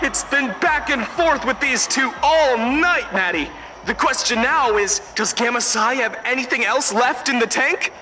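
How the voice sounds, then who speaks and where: A man's voice: extremely high pitch, measured pace, noisy background. A man playing a hyped, exclamatory live-event commentator calling the action in an audio drama. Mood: suspenseful. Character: excitable male live-event commentator, breathlessly amazed. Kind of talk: sports-style play-by-play commentary.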